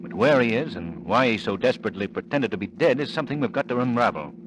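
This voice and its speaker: An actor playing a bold, urbane amateur sleuth in an old-time radio mystery, decisive in manner. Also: male voice, medium pitch, lively intonation, fast, natural voice. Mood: determined. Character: suave, bold male amateur sleuth, adult. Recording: old radio recording with faint noise.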